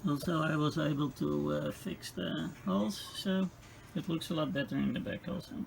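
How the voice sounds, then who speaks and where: A man who is not a native English speaker gives casual hands-on repair commentary as host, halting and thinking aloud in a gravelly voice. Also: a little high, fairly even intonation. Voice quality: gravelly, creaky. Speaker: host of a radio restoration channel. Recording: clearly noisy home-made recording.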